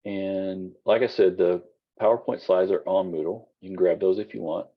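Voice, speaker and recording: Low husky man lecturing casually. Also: fairly even intonation, moderate pace, phone-quality sound. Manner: casual, rambling, many fillers and restarts. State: losing his voice. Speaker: college instructor. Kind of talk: online class lecture.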